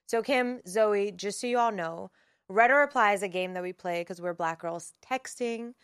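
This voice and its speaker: A woman's voice: medium pitch, moderate pace, clean tone, no room sound, studio quality. A woman in her twenties hosting a podcast, explaining things frankly in casual chat. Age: 20s. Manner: frank, explains the game rules. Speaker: podcast host.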